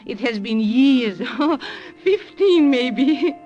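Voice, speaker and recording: A woman's voice: high voice, animated, drawn-out words, mellow, full character voice. A woman playing a hospitable, anxious German grandmother and baker with a German accent in an old radio drama, fussing and soothing. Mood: nervous. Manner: fussing, soothing, nervously polite. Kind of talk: 1940s-50s radio drama acting. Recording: dull old radio recording.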